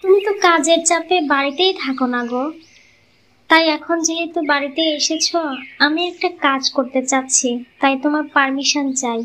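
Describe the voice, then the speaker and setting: A woman's voice: sits high, fairly even intonation, normal speed, fairly bright tone. A woman voicing a selfish young village wife with a coaxing manner in a cartoon. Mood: sweet, coaxing. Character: selfish, greedy young village wife, sweet-talking but secretly resentful. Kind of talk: cartoon moral-story voice acting.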